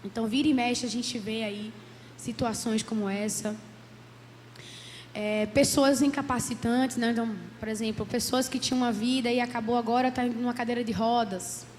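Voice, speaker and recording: Hoarse female psychologist, fairly high voice, earnest lecture in a reverberant hall with faint noise. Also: fairly even intonation, normal speed, a little mellow. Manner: earnest, many "né?" tags. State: hoarse from a cold. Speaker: psychologist giving a church talk. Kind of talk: church lecture. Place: church hall.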